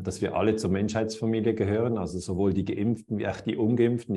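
Zögernder Schweizer Moderator, tiefe Stimme. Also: male voice, fairly low pitch, dark tone. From Switzerland. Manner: hesitant, many fillers and restarts. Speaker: host. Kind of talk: interview over video call.

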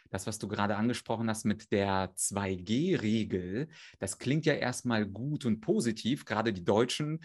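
Gast, Mann aus der Ukraine, tiefe Lage, souverän und erklärend. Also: male voice, on the low side. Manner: fluent, explanatory. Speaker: rhetoric trainer, guest. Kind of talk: expert interview.

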